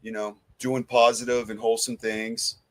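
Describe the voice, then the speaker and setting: A middle-aged man co-hosting a podcast, chatting casually in slang and handing out compliments and shout-outs. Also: mid-range voice, little pitch movement, normal speed, dry recording. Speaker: podcast co-host.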